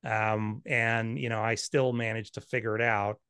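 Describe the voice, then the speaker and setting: A man's voice: fairly low pitch, flat delivery, a little slow, a bit bright, clean tone, dry recording, studio quality. A man in his fifties, an author and financial planner, answering interview questions in a blunt, candid, self-deprecating way. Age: 50s.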